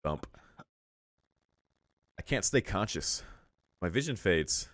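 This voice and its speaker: Very low-voiced man reading aloud. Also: sing-song, a bit bright, dry recording, studio quality. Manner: measured narration broken by casual slangy asides. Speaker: let's play host. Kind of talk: reading a visual novel aloud with casual reaction commentary. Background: music.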